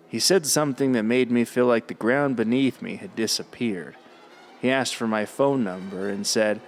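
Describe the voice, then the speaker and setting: A man co-hosting a podcast, reading listener stories aloud and dropping in dry sarcastic asides. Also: on the low side.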